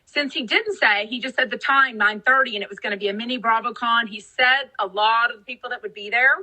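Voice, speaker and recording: Podcast co-host from Texas, woman, very bright and slightly high, gossipy casual chat. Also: fairly quick, low-quality recording. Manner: chatty, gossipy, lots of fillers.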